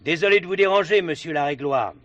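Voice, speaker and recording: An actor playing a methodical, authoritative gendarmerie captain with a commanding, formal manner in a stage drama. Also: male voice, on the high side, quick pace, muffled recording, close to an everyday voice. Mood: formal, firm. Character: authoritative, methodical male gendarmerie captain leading a murder inquiry. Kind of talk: French stage-play dialogue. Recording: faint background noise, 1970s production.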